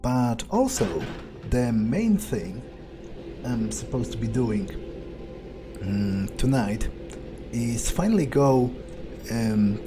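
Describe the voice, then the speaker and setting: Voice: medium pitch, sing-song, takes it slowly, long pauses, noisy background. A person thinking aloud in character as a scheming, amoral vampire in a game playthrough, hesitant and casually profane. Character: scheming, amoral vampire musing on own plans. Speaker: player narrating a roleplay game playthrough in character.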